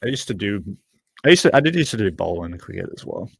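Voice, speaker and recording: A man's voice: on the low side, big pitch swings, quick pace, creaky, slightly rough, no room sound, studio quality. A young man in his twenties co-hosting a football watchalong, telling rambling stories and correcting himself as he goes. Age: 20s. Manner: rambling, self-correcting storyteller. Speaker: co-host of a live football watchalong. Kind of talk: casual chat.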